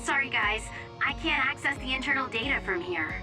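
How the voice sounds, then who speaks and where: A woman's voice: sits high, animated, a little fast, over music. An actress voicing a helpful, polite young female navigator in an anime game dub.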